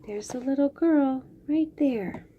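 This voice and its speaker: A woman reading picture books aloud to young children, expressively, with drawn-out phrases and chatty asides. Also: sits high, phone-quality sound, a little hiss or hum. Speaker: children's read-aloud host.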